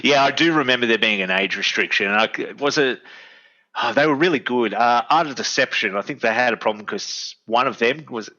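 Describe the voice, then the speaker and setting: A man's voice: medium pitch, brisk, bright, forceful tone. A young adult man, chatting in an agreeable, easygoing way and laughing often. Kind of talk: casual podcast chat. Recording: dull lo-fi mic.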